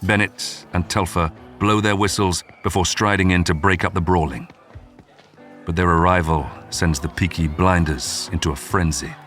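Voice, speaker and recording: A man delivers scripted true-crime narration. His reading is dramatic and measured in the manner of a history documentary, with music playing underneath. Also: sits low, a bit bright. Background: background music.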